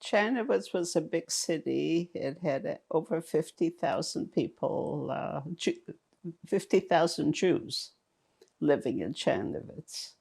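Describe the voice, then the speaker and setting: A woman in her eighties, born in Romania with German as her first language, giving slow, halting oral history testimony full of fillers and restarts. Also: deep voice, expressive, vocal fry, a little mellow, slightly rough. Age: 80s. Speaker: interviewee.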